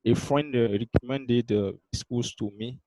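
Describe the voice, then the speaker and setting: Low, mellow man with a Burkinabe French accent; dry, hesitant speech. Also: unhurried, no echo, poor audio. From Burkina Faso. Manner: hesitant, with many fillers. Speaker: pharmacist and graduate. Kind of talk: graduation speech.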